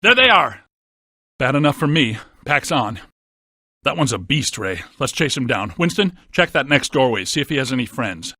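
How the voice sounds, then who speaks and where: An actor playing a wry, unflappable ghost-hunting scientist in a video game, with a dry, matter-of-fact delivery. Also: male voice, mid-range voice, very animated, normal speed, sharp tone, no echo. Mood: alert. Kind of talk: scripted video game character lines.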